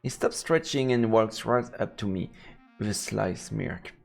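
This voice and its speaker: A man streaming a let's play, reading visual novel narration in a halting way with casual asides. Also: on the low side, lively intonation, gravelly, soft background music.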